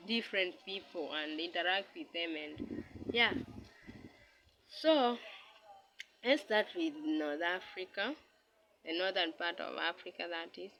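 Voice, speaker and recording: Slow, halting speaker with a Kenyan accent. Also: medium pitch, pushed and bright, a little hiss or hum, low-quality recording. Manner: halting, repetitive, searching for words. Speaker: aspiring travel vlogger. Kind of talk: casual educational talk to camera.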